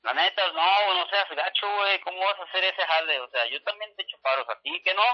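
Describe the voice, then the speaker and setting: A man's voice: sits high, a little fast. A man with a northern Mexican accent in a slangy, casual phone conversation, hedging and evasive as he talks. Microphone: telephone call. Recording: dull lo-fi telephone line.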